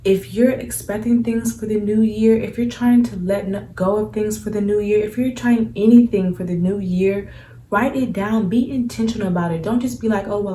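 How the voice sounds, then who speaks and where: Relaxed young woman in an echoey room. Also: medium pitch, distant mic, a little hiss or hum. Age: 20s. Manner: earnest, conversational, uses many fillers. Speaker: spiritual vlogger. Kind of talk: casual advice vlog.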